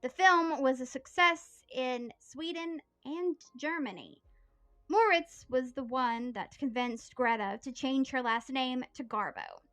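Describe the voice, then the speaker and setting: A woman hosting a history podcast, reading her prepared script in an earnest, steady storytelling way. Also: high voice, unhurried.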